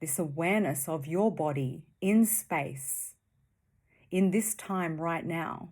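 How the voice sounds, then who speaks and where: Very slow, low-pitched woman guiding meditation. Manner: soothing, gentle, with long pauses between short phrases. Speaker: meditation guide. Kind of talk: guided meditation.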